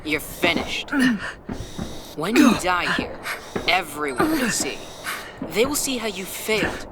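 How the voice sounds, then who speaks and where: A woman's voice: mid-range voice, sing-song, takes it slowly, vocal fry, very noisy, exaggerated voice. An actor playing a cold, taunting female villain machine ruler in a sci-fi radio drama. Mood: gloating. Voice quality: mocking, taunting. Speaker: Nova Lord villain. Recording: loud music score behind.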